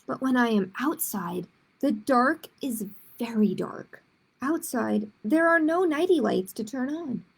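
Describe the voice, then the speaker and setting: A woman's voice: fairly high pitch, lively intonation, unhurried, gravelly, slightly rough, full character voice. A woman voicing a small, nervous young storybook dinosaur who tries to be brave, in an earnest, dramatic way.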